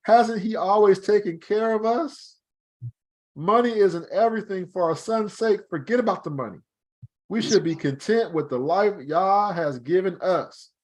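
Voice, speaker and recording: A man's voice: sits high, a little slow, voice pushed up. A man voicing a worried mother who pleads to keep her son at home. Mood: pleading.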